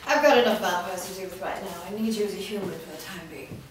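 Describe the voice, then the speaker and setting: A woman's voice: medium pitch, quick pace, close to an everyday voice. A woman in a staged reading playing an imperious, impatient vampire master with a commanding, exasperated manner. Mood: weary command. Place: indoor performance room, seated before an audience. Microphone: far camera. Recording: distant mic, echoey room, faint noise.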